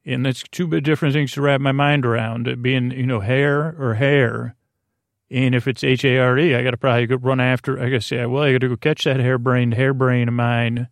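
A man with a gravelly, creaky voice of medium pitch talks close and dry, like a bedtime host. He meanders through halting, half-finished tangents. His manner is soothing and reassuring. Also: level tone, dry recording. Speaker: podcast host. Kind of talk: rambling bedtime story monologue.

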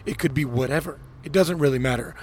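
Podcast host, man, 30s, from Miami, animated ranter, mid pitch. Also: fast talker, a little hiss or hum. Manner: animated storyteller, ranting, acts out quotes. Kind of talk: casual podcast chat.

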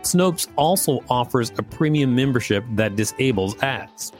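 Lower-pitched man co-hosting a podcast. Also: expressive, a little slow, soft background music. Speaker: podcast co-host. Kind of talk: reading an article aloud with casual commentary.

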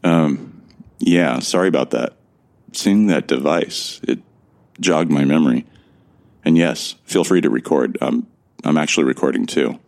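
An actor playing a bewildered, introspective amnesiac from Earth, telling his story in the first person in a measured, gravelly voice for an audio drama. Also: rumbling low, darkish tone, exaggerated voice. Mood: bewildered, earnest.

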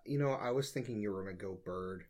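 A man's voice: lowish voice, quick pace. A man co-hosting a podcast in a casual, conversational chat. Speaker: podcast co-host.

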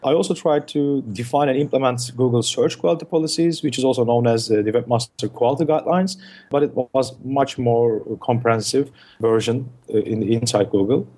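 Husky-voiced man. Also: medium pitch, a little fast, dark tone. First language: Turkish. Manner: explains in lists, with frequent uh fillers and restarts. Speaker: guest, search marketing consultant. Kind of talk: podcast interview answers.

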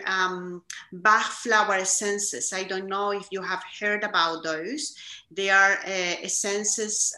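Slow, reflective woman. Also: medium pitch. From Chile. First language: Spanish. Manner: soft-spoken, reflective, halting with fillers and restarts. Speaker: guest. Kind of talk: podcast interview. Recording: echoey room.

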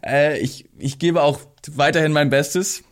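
A man's voice: a little high, steady tone, a little slow, close to an everyday voice. A man playing a newly promoted newsroom editor in an audio drama, flustered but ambitious as he gives a speech. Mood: flustered, grateful. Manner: grateful, slightly nervous speech-maker.

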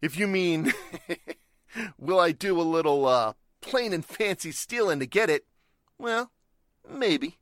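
A man acts out a slick, shady racketeer from a forties radio crime play. His slangy tough-guy talk swings up and down in pitch and is quick to bristle, on a dry, close recording. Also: lively intonation, a bit bright, no echo. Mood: blunt, cagey. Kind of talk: 1940s radio crime drama acting.

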